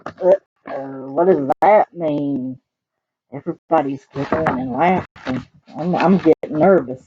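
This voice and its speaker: A woman with a Southern US accent reading picture books aloud to children in an animated, expressive way, adding chatty asides. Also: low voice, slow, slightly rough, lo-fi sound. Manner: animated, expressive, talks directly to young listeners.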